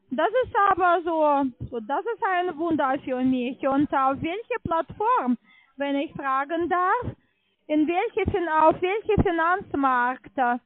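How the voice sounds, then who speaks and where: A woman's voice: high-pitched, no echo. A woman call centre agent surveying a customer, chatty and questioning, with non-native phrasing. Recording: tinny narrowband phone line.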